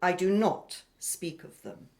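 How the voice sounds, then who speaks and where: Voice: mid-range voice, slow pace, creaky, darkish tone. An actor playing a precise, haughty, educated foreign exile in a radio play, with formal, pedantic phrasing. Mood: stern.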